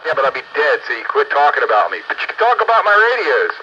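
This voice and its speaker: A man's voice: mid-range voice, wildly swooping pitch, quick pace, bright, forceful tone, husky. An older man chatting casually with friends as a radio hobbyist, plain-spoken and bantering, over radio audio. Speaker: amateur radio operator. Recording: muffled two-way radio audio, faint noise.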